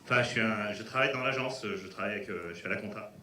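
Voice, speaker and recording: A man from Belgium, playing a shy audience member in a comedy bit, talking haltingly with many restarts. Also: fairly low pitch, heavy background noise. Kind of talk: improvised comedy sketch with a host. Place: cinema theater in front of an audience. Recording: echoey cinema hall, distant mic, crowd.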